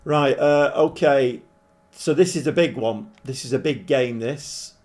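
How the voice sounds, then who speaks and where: A man with a croaky, hoarse voice, chatting casually as he streams a game and thinks aloud. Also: mid-range voice, unhurried, a little mellow. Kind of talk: casual live-stream commentary. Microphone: desk microphone.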